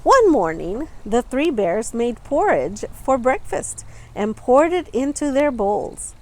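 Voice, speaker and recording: Female storytime reader, lively intonation and unhurried delivery, clearly stressing key words for small children. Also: on the high side. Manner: expressive, deliberate, stressing and stretching words for young listeners. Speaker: storytime reader, teacher reading a picture book aloud. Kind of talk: children's storybook read-aloud with asides about the pictures.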